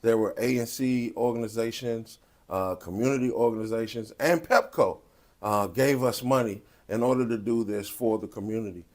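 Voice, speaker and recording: A man speaking as a pastor and producer in a post-show interview, with a deliberate, halting delivery. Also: medium pitch, a little mellow.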